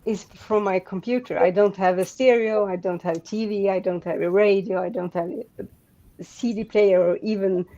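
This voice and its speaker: A woman from Sweden with a Swedish accent, speaking English as a second language in a casual online group chat, halting and rambling with many restarts and fillers. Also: medium pitch, steady tone, warm tone, dull audio.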